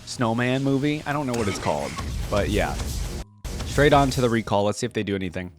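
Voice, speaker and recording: A man's voice: mid-range voice, expressive, brisk, music in the background. A man giving casual reaction commentary as a video creator looking over game content.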